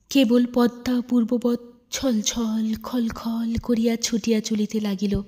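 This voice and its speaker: A woman narrating a literary story in an expressive, measured, dramatic audiobook style. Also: a little high.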